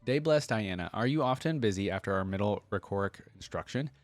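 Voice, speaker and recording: A man's voice: lowish voice, expressive, a little fast, no echo, natural voice. A man reading the formal, polite letters of an earnest young female temple acolyte from game lore. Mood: humble, formal.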